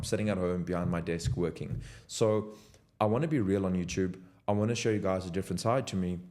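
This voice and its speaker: A young man speaks in a deep, low voice. He talks to the camera from his desk at home, earnest and motivational. He sounds direct and unscripted. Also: darkish tone. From South Africa. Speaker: content creator. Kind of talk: unscripted talking-head vlog.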